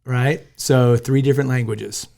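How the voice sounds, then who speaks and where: This is an American man hosting a podcast interview. He talks in a friendly, easygoing way and often jokes. His voice sits at an ordinary middle pitch. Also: steady tone, measured pace, gravelly, darkish tone. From United States. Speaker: podcast host. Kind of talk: casual interview chat.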